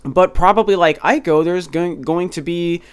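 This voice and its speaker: A man's voice: sits high, normal speed, clean tone. A young man hosting a video game review channel, talking casually and enthusiastically with frequent restarts while a little stuffy and nasal from a cold. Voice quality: slightly nasal. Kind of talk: casual solo talk to camera.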